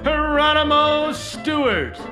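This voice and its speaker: A woman's voice: high voice, animated, slow. A woman announcer reading a scripted show introduction. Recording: roomy echo under loud music.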